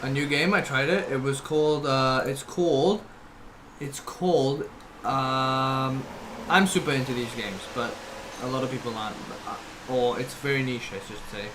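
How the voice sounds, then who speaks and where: A man's voice: medium pitch, moderate pace, noisy background. A young man streaming a game, chatting casually and rambling with his viewers. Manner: rambling, laid-back, uses bro and dude. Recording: loud game music behind the voice. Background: loud video game music.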